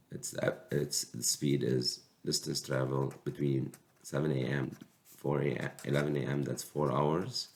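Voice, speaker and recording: Very deep, gravelly man with a cold. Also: a little mellow. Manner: slow, halting explanation with restarts. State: sick with a cold. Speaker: math teacher. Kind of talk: recorded calculus lecture.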